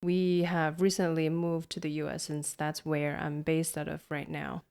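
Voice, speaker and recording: A woman from Taiwan, a native Mandarin speaker, co-hosts a casual podcast in English. Her voice sits a little low, and her Taiwanese accent carries an American tinge. She talks in an earnest, warm way as an English teacher. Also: flat delivery, moderate pace, creaky. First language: Mandarin Chinese.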